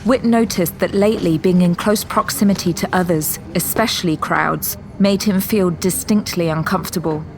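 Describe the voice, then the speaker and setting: A woman's voice: lowish voice, normal speed, few pauses, darkish tone, soft background music. A woman narrating a scripted audio drama in a storytelling role.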